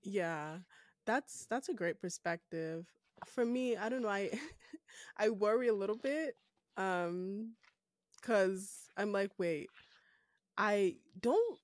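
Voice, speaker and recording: A woman's voice: medium pitch, slow, pauses often, no echo, clean studio sound. Nigerian-accented woman host, thoughtful. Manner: thoughtful, questioning, laughs easily. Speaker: podcast host. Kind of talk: casual conversation.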